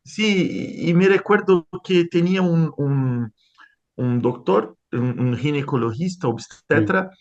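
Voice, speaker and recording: A man's voice: fairly high pitch, takes it slowly, darkish tone, muffled recording. A man from Brazil with a Brazilian Portuguese accent, speaking Spanish as a second language in an interview, hesitant, with many restarts and fillers. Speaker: interviewed scientist. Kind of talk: conversational interview.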